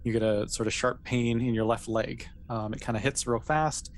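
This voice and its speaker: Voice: mid-range voice, fairly even intonation, moderate pace, a little hiss or hum. A game master improvising narration for a tabletop role-playing game in an informal, conversational way.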